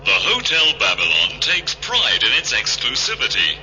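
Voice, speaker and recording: An actor voicing an unfailingly polite, evasive hotel computer with formal, obsequious manners in a radio drama. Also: male voice, mid-range voice, huge pitch swings, normal speed, sharp tone, slight background noise, full character voice, rough recording.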